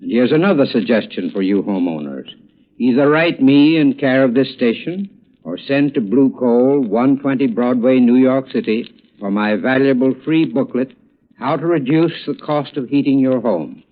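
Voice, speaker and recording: A man's voice: mid-range voice, expressive, moderate pace. A man with an American accent, a spokesman delivering a scripted 1930s radio commercial in an earnest, formal manner. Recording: tinny, narrow 1930s radio recording.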